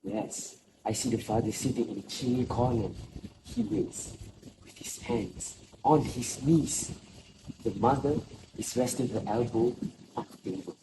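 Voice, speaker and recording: A man playing a troubled, cautious old villager in an amateur stage drama, speaking in deliberate, halting phrases. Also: mid-range voice, close to an everyday voice. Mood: watchful, uneasy. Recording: noisy ambient background. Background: clear ambient noise.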